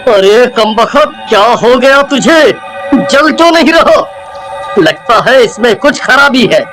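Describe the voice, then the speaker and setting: Puzzled, grumbling cartoon husband, played by a man: high-set male voice with music underneath. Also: expressive, a little slow. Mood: exasperated. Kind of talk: cartoon ghost story dialogue.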